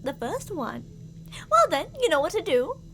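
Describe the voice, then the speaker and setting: A woman's voice: very high-pitched, big pitch swings, normal speed, full character voice. A woman playing an obsessively jealous, murderous stalker lover in an ASMR roleplay, theatrical and possessive, swinging between coaxing and menace. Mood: cold menace. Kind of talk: ASMR girlfriend roleplay acting. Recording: audible background noise.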